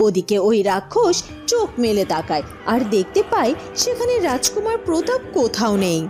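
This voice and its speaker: উঁচু, প্রাণবন্ত গলার নারী গল্পকথক. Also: female voice, fairly high pitch, wildly swooping pitch, normal speed, darkish tone, faint music behind. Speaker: narrator. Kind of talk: animated storybook narration for a cartoon.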